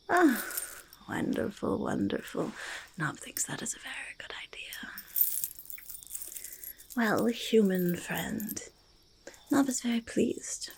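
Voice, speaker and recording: A woman voicing a curious, friendly forest goblin in a soft ASMR roleplay, speaking haltingly in breathy whispers and creaky murmurs, repeating words. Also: mid-range voice. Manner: halting, third-person speech with doubled words. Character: curious, eager, friendly forest goblin who hoards treasure.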